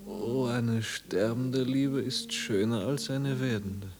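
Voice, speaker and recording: A man's voice: medium pitch, takes it slowly. An actor playing a morbid, lovesick man in a radio drama, speaking in a dreamy, solemn manner. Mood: morbidly tender. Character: morbid, lovesick man doting on dead love. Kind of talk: 1950s German radio drama acting.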